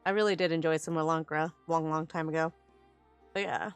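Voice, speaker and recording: A woman's voice: deep voice, fairly even intonation, brisk, clear, resonant tone, soft background music. A woman streaming a video game, chatting to viewers and reading the game's text aloud into a close mic.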